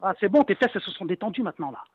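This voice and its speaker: A man's voice: high voice, animated, very fast, husky, no room sound. A man on a phone call, aggressive and threatening, cursing and swearing heavily. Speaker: seller pranked on the phone. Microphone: heard over a phone call. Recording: dull lo-fi phone line.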